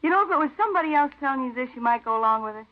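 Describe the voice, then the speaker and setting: A woman's voice: high-pitched, animated, very fast, narrow, tinny audio. An actress playing a tough, sardonic female ex-convict under questioning in an old-time radio drama, slangy and defiant. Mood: bitter. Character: tough, sardonic working-class female ex-convict burglary suspect.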